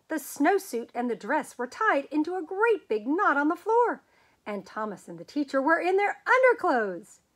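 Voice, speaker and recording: A woman reading a children's picture book aloud as a storyteller, with expressive, dramatic emphasis and drawn-out words. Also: sits high.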